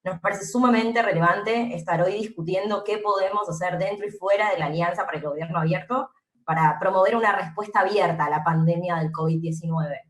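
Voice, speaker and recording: Woman giving formal remarks in an echoey room. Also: on the low side. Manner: measured, formal. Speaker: webinar speaker. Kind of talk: prepared opening remarks. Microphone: video call.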